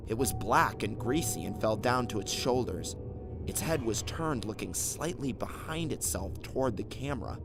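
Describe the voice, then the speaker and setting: Man narrating horror over music. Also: fairly low pitch. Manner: suspenseful, theatrical delivery. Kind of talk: dramatic scripted first-person horror narration. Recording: narration over clearly audible background music. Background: background music.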